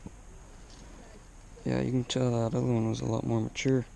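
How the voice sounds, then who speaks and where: A man chatting casually to viewers as a fishing vlogger, with an excitable, exclaiming, slangy way of talking, recorded outdoors on a body-worn action camera. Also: mid-range voice, moderate pace, gravelly, rough recording. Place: outdoors on a grassy reservoir bank. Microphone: body-worn action camera mic. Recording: outdoor action camera with faint background noise.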